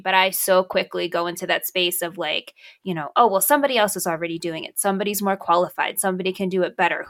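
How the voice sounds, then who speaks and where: A mother talking in a casual conversation, quick and self-deprecating, with frequent laughs. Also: mid-range voice, fairly bright tone.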